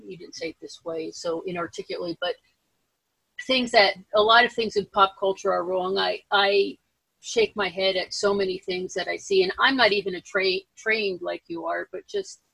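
A female podcast host with a gravelly, creaky edge to her voice, recorded close and dry. She chats casually and haltingly, often doubling back to rephrase. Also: mid-range voice, fairly bright tone, no echo, poor audio. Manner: halting, self-correcting, rambling.

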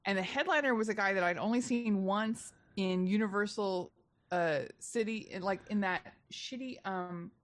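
A woman comedian, mid-pitched and chatty, spins gossipy stories from the road in a loose podcast conversation. She talks with animation and an easy, storytelling flow. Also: normal speed, no echo. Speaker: stand-up comedian guest. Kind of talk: casual podcast chat, storytelling.

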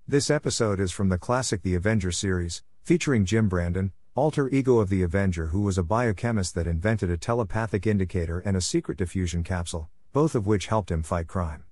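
A man's voice: deep voice, darkish tone, no room sound, studio quality. A man reading a scripted podcast introduction as the host.

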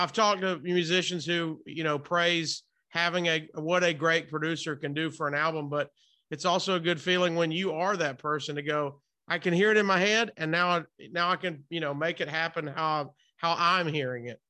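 A man's voice: on the high side, normal speed, pushed and bright. A man hosting a casual interview, chatting in an informal, rambling, buddy-like way. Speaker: interview host.

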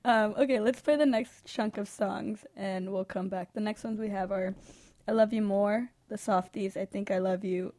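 A woman's voice: mid-range voice, fairly even intonation, normal speed, a little mellow. A young adult woman hosting a radio show, chatting casually with a friend, self-deprecating and laughing often. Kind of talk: casual chat.

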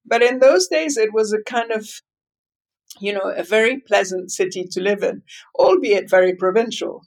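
A woman in her seventies from Lebanon speaks as a guest in an interview, full of fillers, asides and restarts. Her voice carries a gravelly, creaky texture at a middle pitch. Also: normal speed. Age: 70s. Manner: talkative, meandering, many fillers and restarts. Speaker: guest, food writer. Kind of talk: interview over a call.

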